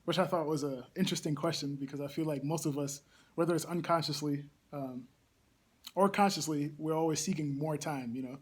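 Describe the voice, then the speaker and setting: A young man from Barbados, a campus ministry coordinator, speaking thoughtfully in a casual interview with many pauses and ums. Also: a little high, a little mellow, husky.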